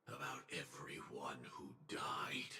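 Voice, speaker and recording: A brooding, fatalistic game character with a very low, gravelly voice. He speaks slowly and solemnly, half whispering, in an echoing space. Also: animated, sharp tone, heavily acted. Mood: bitter, grave. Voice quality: gravelly, breathy. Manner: slow, halting, solemn. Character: brooding man with a burdened, fatalistic temper. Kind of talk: video game voice acting.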